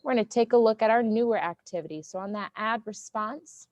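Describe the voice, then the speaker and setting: A woman teacher giving a lesson to her class, loud and goofy, often checking in with "okay?". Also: medium pitch, fairly quick, dry recording, dull audio.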